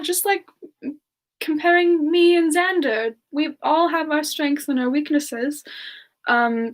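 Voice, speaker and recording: A woman playing a playful, affectionate and coy female demon siren, speaking softly and hesitantly in a semi-ASMR question-and-answer style. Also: high voice. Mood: diplomatic, hesitant. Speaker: host answering audience questions in character.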